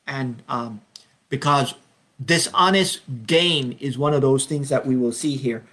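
A man in his fifties from Belize leading an informal Bible study over a webcam at home, speaking earnestly and unhurriedly with frequent ums, slowing down to read verses. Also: mid-range voice, bright, forceful tone. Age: 50s. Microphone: webcam, video call framing.

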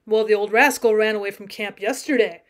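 A woman's voice: on the high side, lively intonation, brisk, natural voice. A woman voicing a young cowboy from a storybook, folksy, earnest and aggrieved as he bluffs. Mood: earnest, bluffing. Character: young cowboy, mock-mournful, earnest, bluffing a tall tale. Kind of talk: acted storybook dialogue.

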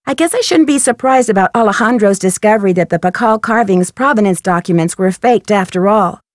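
A woman's voice: mid-range voice, fairly quick, no room sound, clean studio sound, close to an everyday voice. A woman playing a curious, poised and polite young female detective in a video game, speaking in an articulate, inquisitive way. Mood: bright, reflective. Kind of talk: video game voice acting, scripted narration and dialogue.

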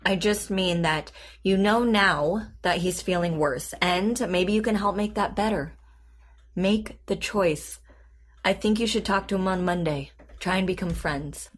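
An actress playing a calm, patient therapist in an audio drama, composed and deliberate in her delivery. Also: fairly low pitch. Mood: firm, encouraging. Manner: composed, deliberate, clinical, reassuring. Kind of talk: naturalistic audio drama acting.

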